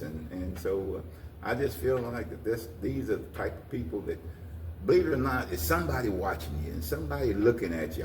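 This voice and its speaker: A man's voice: medium pitch, darkish tone. Older man, echoey distant recording. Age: 60s or older. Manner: halting, repeats and restarts phrases, folksy. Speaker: interview guest, community leader. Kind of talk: informal talk-show interview. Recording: roomy echo, distant mic, faint noise.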